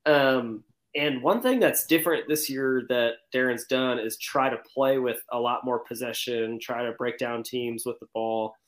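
A man's voice: mid-range voice, a little slow. A man speaking as a panelist in a casual sports podcast discussion.

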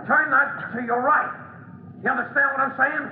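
High-pitched exasperated helper, old radio. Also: male voice, steady tone, moderate pace. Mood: tense, worried. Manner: colloquial, folksy, urgent. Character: gruff-talking grown helper, exasperated but encouraging. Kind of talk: old-time radio serial acting. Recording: tinny, noisy old radio recording.

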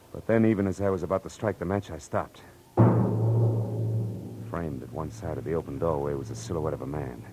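An actor playing a tough, wry private detective in an old radio drama, with a terse, wisecracking delivery. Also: male voice, low-pitched, a little mellow, close to an everyday voice. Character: hard-boiled, wry male private detective. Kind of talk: 1940s radio drama acting and narration. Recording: noisy old radio recording.

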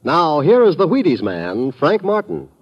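A man's voice: a little high, huge pitch swings, unhurried, highs cut off. An American man announcing a show in a dramatic, proclaiming 1950s radio style. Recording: old radio broadcast with loud music.

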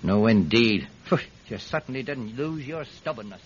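A man's voice: medium pitch, expressive, normal speed, slightly rough. A man playing a talkative, evasive Irish villager in a radio drama, hesitant and wheedling as he rambles. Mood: cajoling. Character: talkative, evasive Irish villager testifying about his friend. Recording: dull, lo-fi radio recording.

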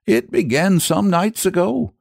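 A man's voice: high-pitched, expressive, a little slow, creaky, a little mellow, no room sound, clean studio sound, pitched above the performer's own voice, heavily acted. A man voicing a nervous, indignant country shepherd in a storybook, rambling in rustic dialect with emphatic repetitions. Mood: nervous, awed.

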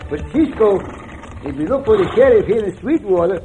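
An actor playing a simple, comic Mexican cowboy sidekick in an old radio western, with a Mexican accent and broken English that refers to himself in the third person. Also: male voice, high-pitched, lively intonation, brisk, faint music behind. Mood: puzzled, chatty. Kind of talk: 1950s radio western acting. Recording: tinny, narrow old radio recording.

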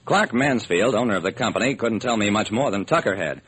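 An actor playing a brisk, determined hard-boiled male private detective, narrating and acting in a classic radio crime drama. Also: fairly low pitch, expressive, fairly bright tone. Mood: intent, clipped. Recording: old, slightly rough radio recording.

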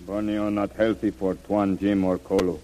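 An actor playing a solemn, loyal servant and guide speaking clipped broken English in an old radio serial. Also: male voice, low voice, level tone, normal speed, mellow, slight background noise, close to an everyday voice, rough recording. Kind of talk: old-time radio adventure serial acting.

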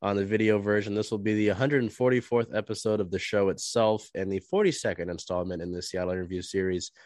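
This man is hosting a sports podcast interview while slightly under the weather, so his voice comes out a little nasal and stuffy. His pitch sits somewhat low and the sound is dry and close. He winds through long, roundabout questions. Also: steady tone, fairly quick, a little mellow, no room sound. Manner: rambling, long-winded questions. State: stuffy nose from a cold. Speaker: podcast host. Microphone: video call.

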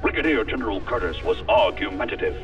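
A dutiful sci-fi operative reporting to his superior. His low, lively voice is formal and businesslike. It comes through a dull, distant radio transmission with music faintly behind. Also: fast. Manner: formal, businesslike military briefing. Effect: radio transmission filter. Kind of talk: science fiction audio drama acting.

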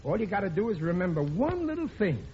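A man's voice: high-pitched, very animated, quick pace, dark tone. A middle-aged actor playing a blustering, cheapskate working-class husband and father in a 1940s radio comedy, cocky and ungrammatical. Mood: cocky protesting. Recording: muffled, noisy old radio broadcast.

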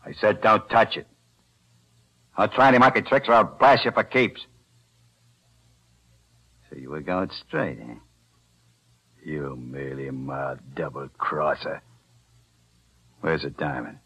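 An actor playing a threatening gangster with gruff tough-guy slang in a 1940s radio drama. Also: very deep voice, slow, pauses often, pushed and bright, exaggerated voice. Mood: snarling. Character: threatening male gangster, gun-toting partner.

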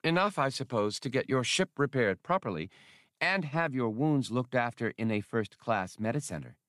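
A man's voice: medium pitch, animated, normal speed, a bit bright, dry recording, clean studio sound, lightly acted. A man voicing an eager, fussy male alien academic with precise scholarly phrasing in an audiobook. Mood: consoling.

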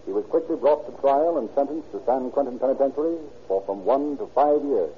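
A man's voice: medium pitch, fairly quick. A man narrating and announcing in the formal, declamatory, scripted style of 1930s radio. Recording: tinny, slightly noisy old radio recording.

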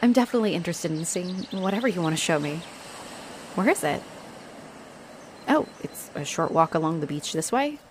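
A woman playing a friendly, flirtatious halfling orc adventurer in a roleplay audio, speaking straight to the listener in a warm, playful, expressive way. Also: lowish voice, brisk, long pauses, slight background noise, close to an everyday voice. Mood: cheerful, playful.

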